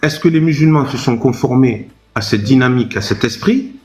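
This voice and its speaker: A man speaking in a live online discussion, explaining slowly and deliberately, often restarting his phrases. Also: medium pitch, a little mellow. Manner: measured, explanatory, with restarts and pauses. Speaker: guest speaker on Islam.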